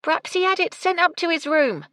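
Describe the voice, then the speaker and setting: A woman's voice: on the high side, animated, brisk, a bit bright, no room sound, studio quality, voice pushed up. A woman voicing a brash young kitchen maid, blunt and cheeky.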